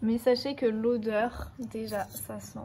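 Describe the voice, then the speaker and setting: A woman with a stuffy, hoarse voice from a cold, chatting casually in a book vlog and rambling with lots of hesitations. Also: on the high side, level tone, slow, mellow, a little hiss or hum. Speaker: book vlogger.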